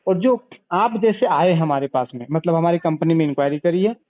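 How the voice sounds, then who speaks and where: A man giving a didactic sales pitch as a consultant over the phone, repeating points and checking back with questions. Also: lively intonation, fairly quick, dry recording. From Bihar, India. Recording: narrow, tinny phone call recording.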